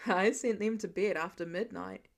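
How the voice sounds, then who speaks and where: A woman voicing a fond, weary, gently stern father in a story reading, with a dry, affectionate manner. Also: medium pitch, sing-song, moderate pace, pitched below the performer's own voice, natural voice. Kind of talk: storybook-style character reading.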